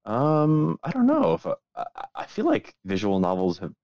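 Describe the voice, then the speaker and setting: A man's voice: medium pitch, wildly swooping pitch, normal speed, a little mellow, dry recording, dull audio, soft background music. A young man in casual podcast chat, laid-back and noncommittal, using slangy phrasing.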